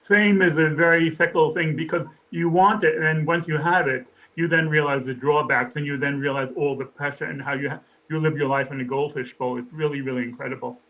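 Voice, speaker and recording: A man's voice: a little high, steady tone, a little fast, hardly any pauses, bright, forceful tone, muffled recording. A man hosting an interview, chatty and enthusiastic, asking leading questions. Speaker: interviewer, show host.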